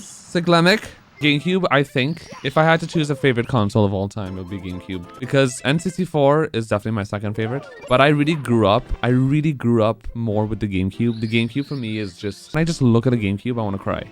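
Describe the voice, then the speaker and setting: A man in his twenties speedrunning on a live stream, rambling casually and answering chat between moves. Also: mid-range voice.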